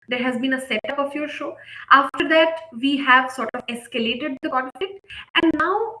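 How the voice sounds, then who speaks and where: A woman with a fairly high voice presents an instructional webinar. She explains things step by step in a measured teaching manner, with tag questions to check in. The sound has a clear room echo. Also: fairly bright tone. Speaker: presenter, story editor. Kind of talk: explanatory webinar lecture with slides.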